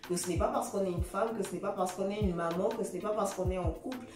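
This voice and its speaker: A woman's voice: mid-range voice, fast, echoey room, recorded from a distance, music in the background. A woman hosting a casual interview, friendly and chatty, often laughing and repeating words as she searches for them. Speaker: host.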